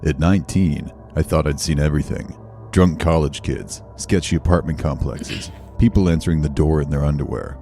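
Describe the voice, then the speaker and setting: A man narrating an animated horror story in a scripted storytelling style. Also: very deep voice, normal speed, faint music behind.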